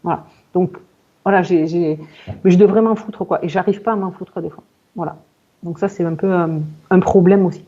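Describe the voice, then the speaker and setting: Mellow-voiced woman in her forties, muffled audio. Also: on the low side, steady tone. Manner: halting, self-searching, repeats words. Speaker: client in a hypnosis session interview. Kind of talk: personal account in conversation.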